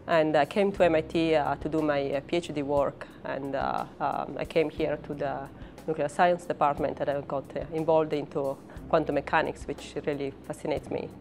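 A woman's voice: deep voice, flat delivery, normal speed, creaky, darkish tone, soft background music. A woman in her thirties with an Italian accent, a professor speaking hesitantly in an interview about her work. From Italy. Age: 30s. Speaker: professor and research scientist.

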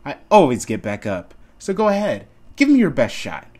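A man's voice: medium pitch, wildly swooping pitch, moderate pace, darkish tone. A man playing a cocky, determined young hero with a confident, dramatic delivery. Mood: confident, taunting.

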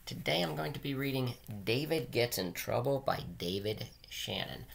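A middle-aged man with a gravelly, creaky voice at an ordinary male pitch. He speaks as a teacher to children, plainly and earnestly, leaning on his key words. He is recorded on a webcam in a small room. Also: animated, moderate pace. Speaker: teacher and school administrator reading aloud to pupils. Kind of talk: read-aloud and plain advice to children. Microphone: webcam at desk distance.

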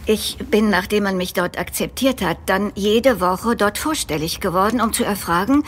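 A woman's voice: medium pitch, moderate pace, a little hiss or hum, lightly acted. A young woman playing a proper, earnest, slightly hesitant governess in a German audio drama, speaking courteously and formally.

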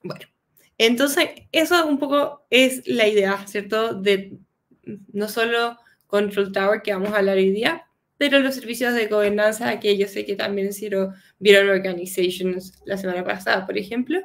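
A woman's voice: mid-range voice, steady tone, normal speed. A young woman with a Chilean accent, presenting a tech lesson on a video call, casual and chatty, with a cold. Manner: casual, often adds "¿cierto?" as a tag. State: has a cold, coughs. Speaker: guest speaker. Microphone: webcam video call.

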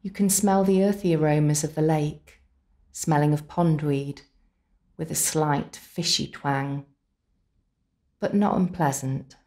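A woman narrating a scripted guided visualisation in an unhurried, soothing way, with deliberate pauses. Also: low voice, fairly even intonation, mellow. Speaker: complementary therapist leading guided relaxation.

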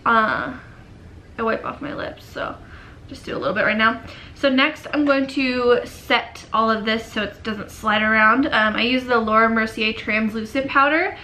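A young woman in her twenties with a cold, chatting casually through a makeup tutorial in a dim bedroom, often trailing off into quiet murmurs. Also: fairly high pitch, moderate pace, slight background noise. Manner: chatty, filler-heavy, drifts into quiet murmurs while working. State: sick and sniffly. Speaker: beauty vlogger.

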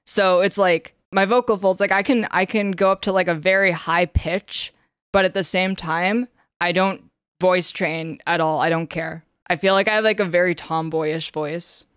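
A young woman with a Canadian accent chats casually on her stream, her tone flat and a bit monotone. Her voice sits on the lower side, and the sound is dry and close with no room around it. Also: moderate pace, clear, resonant tone, no room sound. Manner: flat, slightly monotone delivery with frequent fillers. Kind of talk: casual livestream chat, reading a questionnaire aloud. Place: indoor room, streaming setup.

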